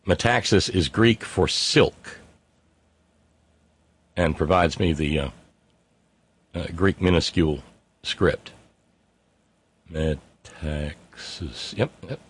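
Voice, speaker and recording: A man's voice: low-pitched, slightly rough. A man hosting a live stream, talking in a rambling, wry way with many fillers and restarts while he reads out viewer comments. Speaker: livestream host reading chat. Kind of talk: casual unscripted monologue.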